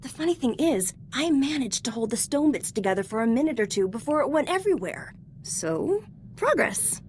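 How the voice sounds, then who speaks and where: A woman's voice: high-pitched, big pitch swings, moderate pace, darkish tone, a little hiss or hum. An actress playing a modest, eager young female Jedi apprentice in a video game, earnest and a little hesitant in a conversational way. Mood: sheepish, upbeat. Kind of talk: scripted video game voice acting.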